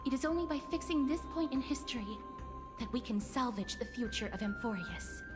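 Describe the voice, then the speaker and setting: A woman's voice: fairly high pitch, animated, a little fast, close to an everyday voice. A woman playing an earnest, urgent guide in a video game, speaking in formal phrases. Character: earnest, urgent female guide pleading to save the future. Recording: music behind the voice.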